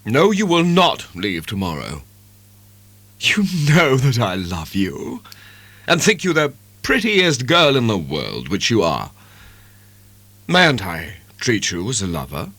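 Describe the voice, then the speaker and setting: An arrogant young gentleman played by a man in a classic audiobook drama. He is haughty and insistent, with very animated pitch in a dry, close recording. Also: slow, no echo, exaggerated voice. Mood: indignant, insistent.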